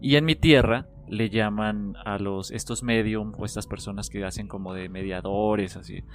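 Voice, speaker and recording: A man with a Colombian accent in casual, reminiscing podcast talk, halting and repeating words as he goes. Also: on the low side, fairly even intonation. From small town in Colombia. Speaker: podcast panelist.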